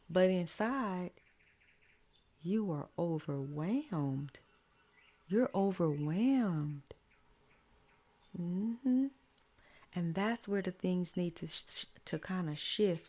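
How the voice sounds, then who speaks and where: A woman life coach with a slightly hoarse, raspy voice, giving slow, encouraging faith-based advice. She repeats her key lines and adds affirming "mm-hmm" asides. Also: fairly low pitch, darkish tone. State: voice a bit hoarse.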